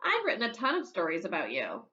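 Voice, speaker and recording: A woman voicing a chatty, confident little schoolgirl in an animated storybook read-aloud. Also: fairly low pitch, quick pace, lo-fi sound, pitched below the performer's own voice, heavily acted. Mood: incredulous.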